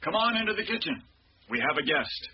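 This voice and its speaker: An actor playing a wry, teasing old retired police captain in a radio drama, with a dry, unhurried manner. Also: male voice, high-pitched, very animated, a crowd in the background. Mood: genial, teasing. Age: older. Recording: dull, noisy radio drama recording.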